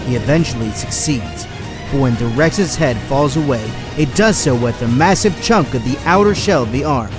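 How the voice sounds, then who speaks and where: Male storyteller, mid pitch, dramatic action narration, very loud orchestral music behind. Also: animated, moderate pace.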